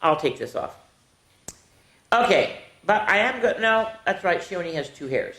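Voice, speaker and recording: A woman's voice: on the low side, very animated, normal speed, fairly bright tone, echoey room. An older woman reading to children with chatty, folksy asides, speaking playfully and directly to her young listeners. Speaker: storytime host reading picture books to children.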